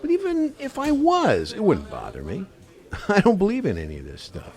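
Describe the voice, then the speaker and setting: Man acting a brash, very animated skeptical buddy. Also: sits high, normal speed, heavily acted. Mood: skeptical, dismissive. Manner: joking, cajoling, colloquial. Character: brash, skeptical wisecracking buddy egging on his friend. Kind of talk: radio play acting.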